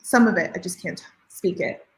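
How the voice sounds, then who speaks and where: A woman's voice: fairly low pitch, normal speed, echoey room, low-quality recording. A woman co-hosting a live writing stream, thinking aloud in casual chat and rambling with many fillers. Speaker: co-host of writing sprints. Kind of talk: casual chat on a video call.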